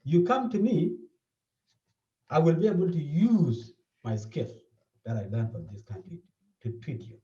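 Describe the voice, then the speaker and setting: A South Sudanese-accented man speaks earnestly, his pitch rising and falling freely as he repeats his points. His voice is fairly high but mellow. The recording is dull and echoey, and he sounds set back from the mic. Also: sing-song, measured pace, pauses often, distant mic. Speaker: therapist. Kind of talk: interview.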